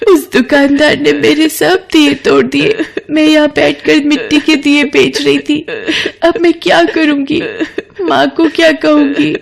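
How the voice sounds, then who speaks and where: Woman voicing a high-pitched, earnest poor young girl. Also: level tone, unhurried, vocal fry, exaggerated voice. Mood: tearful, distressed. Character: poor young girl selling clay lamps, earnest, emotional.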